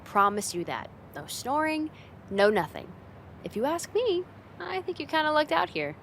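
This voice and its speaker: A woman's voice: high voice, very animated, normal speed, vocal fry, a bit bright, a little hiss or hum, heavily acted. A woman playing a friend's teasing older sister in a casual, conversational ASMR roleplay spoken straight to the listener. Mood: playful, casual.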